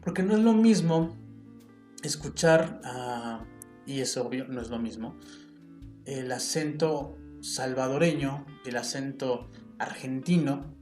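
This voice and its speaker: A man's voice: mid-range voice, takes it slowly, darkish tone. A man with a Mexican accent hosting a reflective solo podcast, talking in an earnest, opinionated way and thinking aloud with small restarts. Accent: Mexican Spanish. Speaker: podcast host. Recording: roomy sound with faint guitar music behind.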